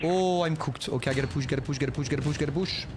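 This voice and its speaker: Young French-accented male streamer, high-pitched, loose and chatty, noisy mic that keeps cutting out. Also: racing. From France. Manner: casual, rambling, talks to himself. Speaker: gaming streamer. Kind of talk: live gameplay commentary, chatting with viewers.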